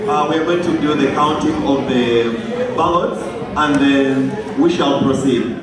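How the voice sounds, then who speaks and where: Slow, halting man in an echoey hall. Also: medium pitch. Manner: slow and halting, with many pauses and fillers. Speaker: election official announcing results. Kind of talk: public announcement at a community meeting. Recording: echoey hall from far off, crowd behind.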